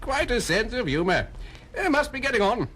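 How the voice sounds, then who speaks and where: Jovial, swooping British police chief. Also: male voice, extremely high pitch, very animated, moderate pace. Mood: amused disbelief. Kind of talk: 1970s comic-book record acting.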